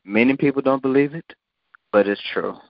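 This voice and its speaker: A man's voice: mid-range voice, normal speed, gravelly, no echo. A man hosting a podcast, talking in a blunt, provocative, preachy way. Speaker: podcast host. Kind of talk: casual podcast talk. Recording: dull lo-fi sound.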